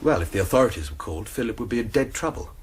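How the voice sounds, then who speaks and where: An actor playing a young college student in a radio drama, defensively recounting a fatal scuffle step by step. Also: male voice, on the low side, lively intonation, very fast, slight background noise, lightly acted.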